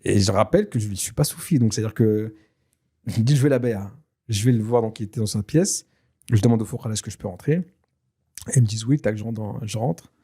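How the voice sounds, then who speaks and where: A man whose first language is Tashelhit, telling a personal story in a casual, lively way with many fillers and restarts. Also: fairly low pitch, fast, breathy edge. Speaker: guest telling his story. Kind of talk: casual spoken testimony.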